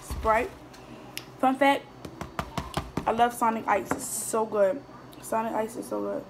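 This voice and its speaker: A woman's voice: on the high side, slow, background noise. A teenage girl chatting casually to her viewers while eating, rambling through questions with lots of fillers and restarts. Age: teens. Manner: talks while eating, mouth sometimes full. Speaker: vlogger. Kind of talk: casual mukbang chat answering viewer questions. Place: small indoor room at a table. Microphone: phone camera on the table in front of her.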